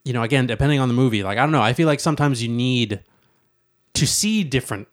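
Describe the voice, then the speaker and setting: A man's voice: medium pitch, animated, quick pace. Tentative, rambling man chatting casually. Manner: tentative, rambling, repeats himself. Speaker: co-host of a talk show. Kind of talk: casual chat.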